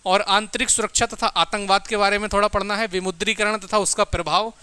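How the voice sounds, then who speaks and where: A man's voice: sits high, little pitch movement, brisk, sharp tone, clean tone, no echo. A man teaching exam aspirants in an informal lecture, emphatic and instructive, often checking in and addressing listeners as friends.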